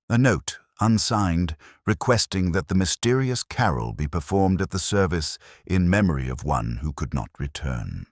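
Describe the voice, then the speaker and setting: A man playing a melancholy, reflective police detective, narrating his case in a measured, literary, brooding manner for a mystery audio drama. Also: low-pitched, no echo, clean studio sound, full character voice. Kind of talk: scripted first-person noir-like mystery narration.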